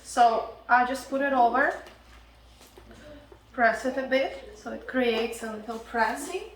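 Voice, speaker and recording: A woman's voice: fairly high pitch, a little slow, roomy sound, far from the mic, poor audio. A woman with a Ukrainian accent hosting a casual cooking demonstration, chatty and often checking in with her viewers. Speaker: cooking show host.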